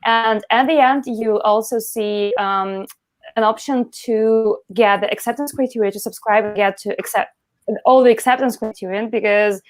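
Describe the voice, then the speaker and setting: A woman in her thirties speaking as a guest on a video call, eager and slightly halting as she explains. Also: a little high, steady tone, no room sound. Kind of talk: casual video call chat. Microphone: earphone mic on video call.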